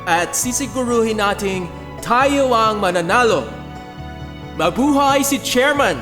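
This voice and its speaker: A man playing an earnest young CEO in a radio drama, giving a rousing, deliberate, oratorical speech to his company. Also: high voice, lively intonation. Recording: long hall reverb, music underneath.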